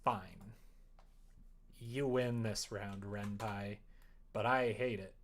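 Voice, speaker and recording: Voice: medium pitch, fairly even intonation, slow. A livestream host thinking aloud casually while working at a computer, muttering and trailing off mid-thought. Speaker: livestream host coding a visual novel. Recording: noisy room, keyboard typing and clicks. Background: keyboard typing, mouse clicks.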